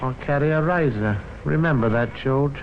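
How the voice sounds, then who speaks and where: An actor playing a menacing, commanding London gang boss in a 1950s radio drama. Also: male voice, mid-range voice, normal speed, natural voice. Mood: cool menace. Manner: commanding, coolly threatening. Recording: dull, noisy old radio recording.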